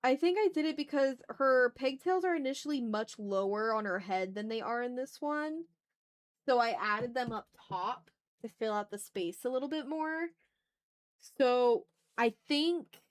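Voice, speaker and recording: Woman thinking aloud on a casual stream. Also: a little high. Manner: informal, mutters to self, mild swearing. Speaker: art livestream host. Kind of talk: casual rambling chat while drawing.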